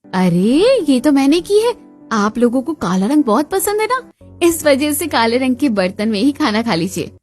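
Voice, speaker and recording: A woman's voice: high-pitched, sing-song, moderate pace, a little mellow, faint music behind. A woman voicing a sarcastic, defiant young daughter-in-law in a family cartoon, dramatic and mock-sweet.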